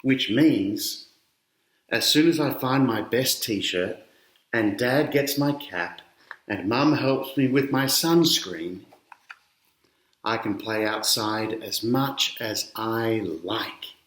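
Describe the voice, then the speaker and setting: Middle-aged man, Australian accent, children's story read-aloud, conversational asides, mid-pitched, roomy echo. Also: slow, a bit bright. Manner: addresses children directly with questions and explanations. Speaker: children's author reading aloud. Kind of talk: picture book read-aloud with conversational asides to young listeners. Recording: roomy, echoey indoor sound.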